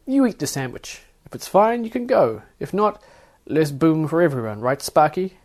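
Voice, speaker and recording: A man voicing a stocky, gruff female airport security officer who sneers and shouts as she interrogates. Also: on the high side, sing-song, moderate pace, voice pushed up. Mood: aggressive taunting.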